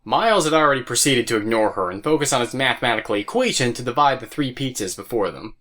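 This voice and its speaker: A man's voice: mid-range voice, expressive, fast, a bit bright. A man narrating an audio drama as a scripted story. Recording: music bed behind voice.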